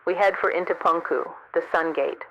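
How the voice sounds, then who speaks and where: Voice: medium pitch, fairly quick, fairly bright tone, a little hiss or hum, natural voice, rough recording. A man playing a solemn, observant field correspondent who describes the world's end in a scripted radio drama report. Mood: solemn awe.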